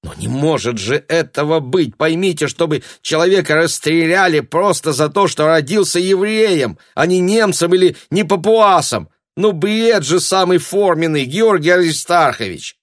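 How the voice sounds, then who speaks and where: A man's voice: fairly low pitch, big pitch swings, measured pace, husky, no room sound, studio quality, voice pushed up, exaggerated voice. A man voicing an outspoken, indignant female shop assistant in an audiobook, with a forthright, exasperated manner. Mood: shocked disbelief.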